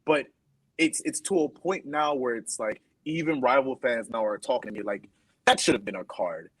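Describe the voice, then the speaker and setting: Husky, exasperated football fan. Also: male voice, medium pitch, normal speed, a bit bright, no echo. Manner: exasperated, repeats himself when frustrated. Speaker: football podcast panelist. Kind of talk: casual heated football chat.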